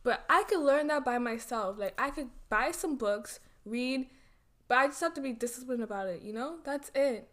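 A young woman in her twenties chatting casually as a podcast guest, rambling with lots of fillers and quick giggles. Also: high voice, expressive, a bit bright. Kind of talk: casual chat.

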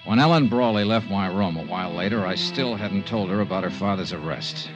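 A man's voice: low voice, lively intonation, fairly quick, lo-fi sound. An actor playing a tough, world-weary insurance investigator who narrates his case in terse, clipped, brooding lines in a 1950s radio drama. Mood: brooding, uneasy. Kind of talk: 1950s radio drama acting, hardboiled first-person narration. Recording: 1950s radio recording with organ music.

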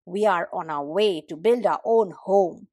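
A woman's voice: fairly low pitch, unhurried, a little mellow, no echo, clean studio sound, voice pushed down. A woman voicing a companionable, practical pig in a folktale, blunt and emphatic. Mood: practical, challenging.

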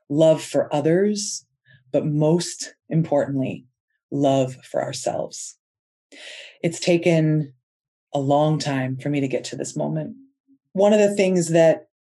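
A woman hosting her own podcast, talking in an earnest, heartfelt way and stretching out the words she stresses. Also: sits low. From United States. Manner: earnest, soft-spoken and heartfelt, drawn-out emphasis. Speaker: podcast host. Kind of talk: inspirational podcast monologue.

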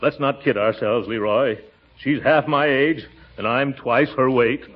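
A man's voice: mid-range voice, unhurried, very noisy, close to an everyday voice. A man playing a pompous, stout middle-aged uncle, easily flustered, hemming his way through a 1940s radio comedy. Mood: pompous, doubtful. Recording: muffled old-time radio recording.

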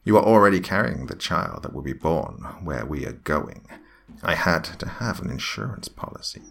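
A man voicing an evil alien demon queen in a horror story, with a raspy, slithering, mock-tender and menacing delivery. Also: sits low, lively intonation, moderate pace, a bit bright, exaggerated voice.

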